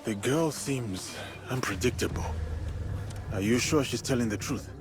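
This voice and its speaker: A man playing a stern, wary samurai in a video game, speaking in a measured, serious manner. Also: mid-range voice, natural voice. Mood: suspicious. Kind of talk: dramatic game voice acting. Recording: loud music behind.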